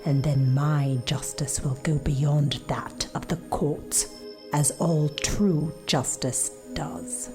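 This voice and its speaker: An actress playing a vengeful Victorian lady detective in a radio drama, speaking in a grave, deliberate, menacing way. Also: sits low, steady tone, music in the background. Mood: brooding, vengeful. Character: Sherlock Holmes's dark, vengeful older sister, brooding Victorian detective.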